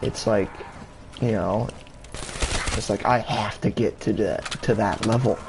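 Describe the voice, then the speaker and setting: A young man talks slowly at his gaming setup at home, rambling through his thoughts with plenty of ums and restarts and an easy habit of swearing. His pitch leaps up whenever he gets worked up, then drops back. A clear, steady hiss of background noise sits under his voice from the console camera mic. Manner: rambling, many fillers and restarts, swears casually; pitch jumps up when he gets excited. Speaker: gaming YouTuber. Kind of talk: casual rambling vlog chat.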